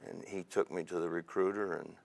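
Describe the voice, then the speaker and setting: A man in his fifties from Indiana with a very low voice recalls his army days. He talks in a measured, reflective way and pauses often. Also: level tone, creaky, darkish tone. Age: 50s. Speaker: interview guest, Army veteran. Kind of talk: oral history interview.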